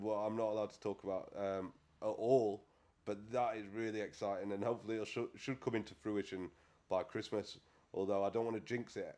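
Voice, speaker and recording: Hoarse, nasal British man with a cold. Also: fairly low pitch, darkish tone. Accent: British English. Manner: rambling, self-deprecating, chatty. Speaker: podcast host. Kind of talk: casual solo podcast chat.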